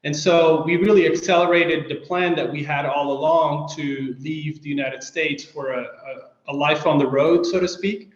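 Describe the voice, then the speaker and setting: A man from Belgium answering interview questions in a measured, hesitant way. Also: a little high, steady tone. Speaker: guest. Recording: echoey dull-sounding room.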